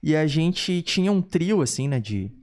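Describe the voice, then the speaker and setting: A soft-spoken man with a southern Brazilian Gaúcho accent chats casually as a podcast guest, slangy and informal, often repeating short phrases. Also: fairly high pitch, big pitch swings, a little slow. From Porto Alegre, southern Brazil. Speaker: podcast guest, singing teacher.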